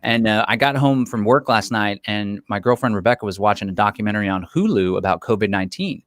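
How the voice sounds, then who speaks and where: Low-voiced man hosting an interview. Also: fast, fairly bright tone, dry recording, clean studio sound. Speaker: interview host. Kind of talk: live-stream interview chat.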